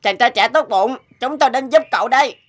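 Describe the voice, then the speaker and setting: A woman voicing a brave, kind little toad in an animated fairy tale, earnest and reassuring. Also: fairly high pitch, a little fast, close to an everyday voice.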